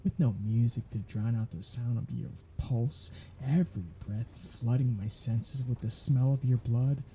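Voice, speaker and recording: A man's voice: medium pitch, a little slow, dark tone, slight background noise, close to an everyday voice. A man voicing a sardonic, flirtatious male vampire held captive on a road trip, in an ASMR audio drama. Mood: hungry, seductive. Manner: teasing, sardonic, flirtatious banter.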